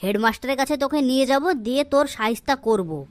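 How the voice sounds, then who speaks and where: Woman playing a stern schoolteacher, scolding, interrogating, comedy cartoon, high voice, dry close sound. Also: fairly quick, no room sound, close to an everyday voice. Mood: angry scolding. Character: strict, scolding schoolteacher, quick to threaten thrashings.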